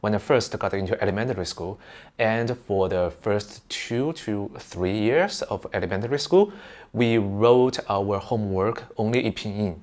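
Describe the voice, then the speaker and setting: Male host, English, fairly low voice, patient and upbeat explainer lesson. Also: moderate pace, a little mellow. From mainland China. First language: Mandarin Chinese. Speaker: language channel host.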